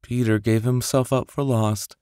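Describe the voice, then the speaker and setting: A man's voice: medium pitch, steady tone, unhurried, dark tone, clean tone, no echo. A man narrating a bedtime story expressively and theatrically, close on a studio microphone. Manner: theatrical, with whispers and sound-effect voices. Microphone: close studio condenser microphone.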